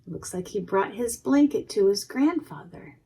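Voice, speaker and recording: A woman reads a picture book to preschoolers at an unhurried pace. Her voice is a little husky, and she speaks in simple, expressive phrases with asides to the children. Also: mid-range voice. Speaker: preschool education manager reading a storybook. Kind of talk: children's read-aloud with asides to listeners.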